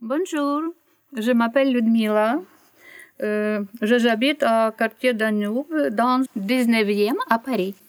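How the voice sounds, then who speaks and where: A woman from Ukraine, a native Ukrainian speaker, reports as a French learner with a slightly high voice. She pauses and restarts as she finds her words. The recording is close and dry. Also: steady tone, smooth, no room sound. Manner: careful, hesitant learner's French. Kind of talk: reading a short reportage and answering questions.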